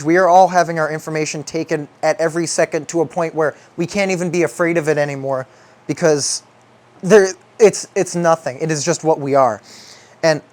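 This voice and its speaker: Young male video essayist, voice a little on the high side, rambling and reflective, halting delivery with stretched emphasis.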